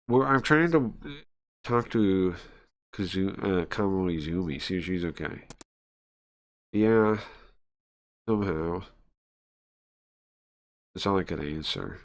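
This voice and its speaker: A man reading and translating a visual novel aloud on a stream in a halting, informal way, often searching for words and chatting along. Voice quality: low-pitched voice. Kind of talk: casual live read-through, translating and chatting.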